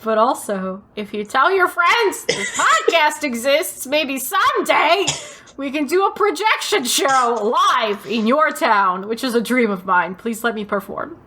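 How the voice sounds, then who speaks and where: Woman, fairly high voice, podcast co-host, casual chat, playful hammy exaggeration. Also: expressive, unhurried, sharp tone, slight background noise. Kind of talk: casual banter between friends.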